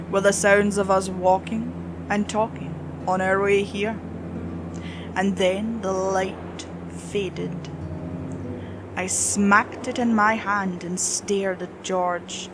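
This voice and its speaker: A woman's voice: medium pitch, noisy background. A woman reading a scripted horror story as its narrator.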